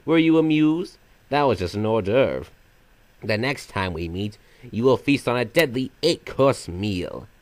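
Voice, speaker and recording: A man's voice: mid-range voice, lively intonation, measured pace, a little mellow, no echo, natural voice. A man voicing a flamboyant magical trickster villain from a video game, half silly and half sinister, with florid, mock-polite theatrical delivery. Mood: smug, sinister. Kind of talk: fan voice-over of video game villain lines.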